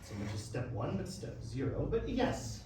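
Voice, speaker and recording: A man's voice: medium pitch, sing-song, normal speed, lightly acted. A man playing a stern, demanding music professor, lecturing curtly in a staged reading of a musical. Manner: lecturing, curt, exacting. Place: echoey room, staged reading. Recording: very echoey, distant, noisy room.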